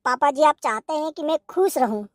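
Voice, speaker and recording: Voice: high-pitched, brisk, fairly bright tone, no room sound, studio quality. A performer voicing a henpecked, wisecracking young husband in a cartoon comedy, placating and grumbling. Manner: placating, mock-poetic, grumbling.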